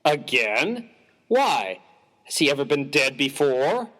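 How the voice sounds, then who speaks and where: Man playing a slow, pompous, bewildered clergyman. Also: medium pitch, sing-song, a bit bright. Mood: puzzled. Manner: formal, pompous, precisely worded. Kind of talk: dramatized reading of a humorous story.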